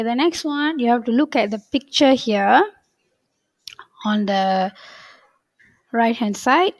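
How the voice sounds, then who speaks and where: A woman's voice: a little high, dry recording, rough recording. A woman English teacher going over workbook answers in a patient, step-by-step way, often saying "okay" between points.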